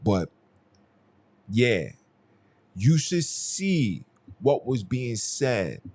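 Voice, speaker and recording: A man's voice: medium pitch, very animated, drawn-out words, a little mellow. A young man hosting a solo podcast, talking in a heated, emphatic way and often repeating his phrases. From Haiti. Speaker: podcast host. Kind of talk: casual solo commentary.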